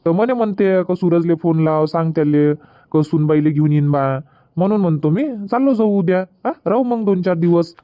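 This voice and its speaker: Guy voicing a laid-back young husband in a cartoon, varhadi accent, bit high voice, dry dull sound. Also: moderate pace, smooth, dry recording, lightly acted. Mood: explaining. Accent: Varhadi Marathi. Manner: easygoing, explaining at length. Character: young husband Suraj, easygoing son. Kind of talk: cartoon comedy dubbing.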